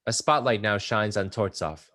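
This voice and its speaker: A man with a fairly low voice narrates stage directions at a table read. He delivers scripted description of the action between the actors' lines. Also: moderate pace, bright, forceful tone.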